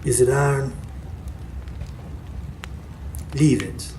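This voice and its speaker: A man's voice: mid-range voice, moderate pace, warm tone, close to an everyday voice. A man playing a wise, stern old folk healer in a live radio drama, terse and commanding as he instructs. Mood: stern, probing. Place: stage before a live studio audience. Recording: live stage recording with background noise.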